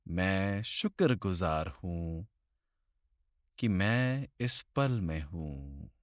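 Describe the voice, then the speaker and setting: Man, meditation guide, low-pitched, unhurried with long pauses, lilting intonation, close mic. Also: expressive, dry recording. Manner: slow, deliberate phrasing with long pauses.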